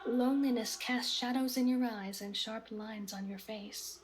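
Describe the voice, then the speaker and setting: A woman playing a meek but eerily calm servant in a visual novel, speaking in a deferential, measured, formal way. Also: a little high, a little hiss or hum, natural voice. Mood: quiet, knowing. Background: game music.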